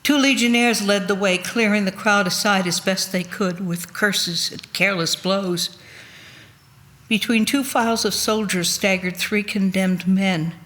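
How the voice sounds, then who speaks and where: A woman's voice: mid-range voice, measured pace, fairly bright tone. Woman reading a solemn devotional narration. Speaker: reader at a church Good Friday service.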